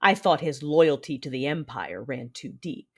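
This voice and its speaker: Casting: actress for armored dark-side matriarch, imperious and calculating, narrates dramatically with wide pitch swings. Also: female voice, lively intonation, husky, heavily acted. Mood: measured, brooding. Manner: measured, imperious. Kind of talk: first-person dramatic narration.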